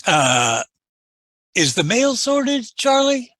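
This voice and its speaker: A man's voice: extremely high pitch, big pitch swings, drawn-out words, fairly bright tone, dry recording, full character voice. A man playing a meek, folksy assistant to an Old West marshal in a radio western, with a hesitant, stammering, deferential delivery. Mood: polite. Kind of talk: old-time western radio drama acting.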